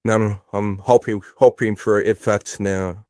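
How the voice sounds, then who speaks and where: A man in a played video clip, mumbling in a gloomy, flat, chant-like way. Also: on the low side, a little slow, dry recording, studio quality.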